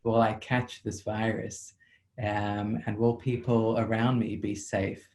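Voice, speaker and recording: A psychotherapist with a South African accent, answering interview questions in a measured, reflective way over a video call, with frequent ums. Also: male voice, fairly low pitch, flat delivery, a little mellow.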